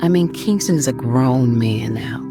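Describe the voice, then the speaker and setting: A woman's voice: very deep voice, lively intonation, unhurried, full character voice. A woman playing a reflective, torn middle-aged mother who narrates her story in a first-person audio drama. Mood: wry, worried. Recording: loud music under the voice.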